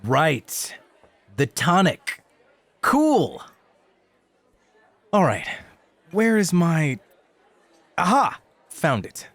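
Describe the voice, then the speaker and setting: A man's voice: on the high side, huge pitch swings, extremely slow, long pauses, a bit bright, no echo, some chatter behind, heavily acted. A man acting in an ASMR roleplay as a laid-back rookie club host, casual, hesitant and a little awkward. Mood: eager, casual.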